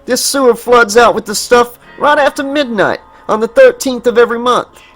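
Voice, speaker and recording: A jittery middle-aged sewer worker with a thick Bronx accent, as a man puts him on. His voice jumps high and strained with alarm, over faint background music in an audiobook. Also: normal speed, a bit bright. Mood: urgent. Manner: panicky, urgent, high-strung.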